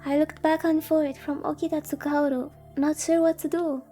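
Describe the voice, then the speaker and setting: Streamer girl reading as naive young anime game heroine, earnest storybook narration, high mellow voice. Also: little pitch movement, normal speed, soft background music, close to an everyday voice. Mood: shaken, wondering. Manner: earnest, literary first-person narration.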